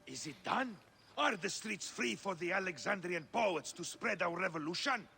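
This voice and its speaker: An actor playing an excitable, effusive male revolutionary poet in a video game, with theatrical delivery and echoey game audio. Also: high voice, normal speed, gravelly, a little hiss or hum, heavily acted. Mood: gushing.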